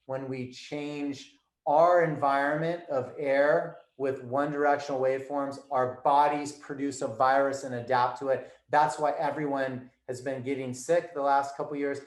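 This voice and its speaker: A man's voice: mid-range voice, steady tone, moderate pace. A man speaking as a guest in an interview, emphatic and lecturing in tone. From United States. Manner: emphatic, listing instructions. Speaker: guest, performance coach. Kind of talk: conversational interview. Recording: echoey room.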